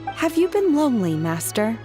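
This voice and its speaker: A woman voicing a calm, earnest young female disciple in a video game, measured and reserved. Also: on the high side, music in the background. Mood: gentle. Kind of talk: anime video game voice acting.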